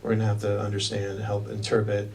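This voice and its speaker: A man with a gravelly, creaky voice puts questions to a student, often stopping to restart them halfway through. He sounds a little distant from the mic. Faint noise hums in the background. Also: on the low side, completely flat delivery, fast, a little mellow. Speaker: interviewer. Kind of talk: mock job interview questions.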